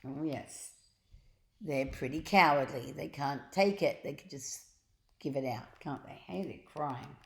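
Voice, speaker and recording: A woman storyteller with a slightly low voice takes her time through a children's book. She reads theatrically and chats to listeners in asides. The room around her echoes. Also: a little slow, creaky. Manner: theatrical, acts out sound effects. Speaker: storyteller reading children's books aloud. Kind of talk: expressive read-aloud narration with asides to listeners.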